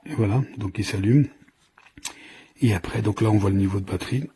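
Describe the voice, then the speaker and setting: A man's voice: lowish voice, fairly quick, mellow, breathy edge. A man giving an informal hands-on product demonstration, explaining in a hesitant, improvised way as he goes. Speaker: product reviewer.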